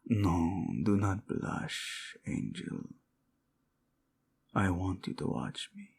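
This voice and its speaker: An actor playing a devoted, passionate prince and husband in an intimate audio roleplay, with a breathy, husky, murmuring delivery. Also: male voice, sits low, very animated, drawn-out words, pauses often, darkish tone, slight background noise, full character voice. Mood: seductive, tender.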